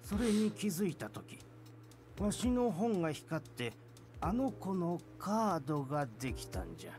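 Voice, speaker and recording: A man's voice: on the high side, very animated, quick pace, darkish tone, slight background noise. An actor playing an earnest, pleading old grandfather in a visual novel game, with an aged manner of speech. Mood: reflective. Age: older. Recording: music behind the voice.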